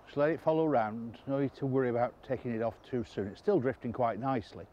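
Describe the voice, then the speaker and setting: An older man talks through fly fishing while standing by a river. His mid-range voice is calm and explanatory, warming with enthusiasm for the fish. The outdoor recording sounds a little muffled. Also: medium pitch, fast, a little hiss or hum. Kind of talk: outdoor instructional presenting.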